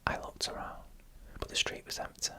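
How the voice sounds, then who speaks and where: A narrator whispering scary stories in ASMR style, slowly and deliberately, with pauses between short phrases. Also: sharp tone.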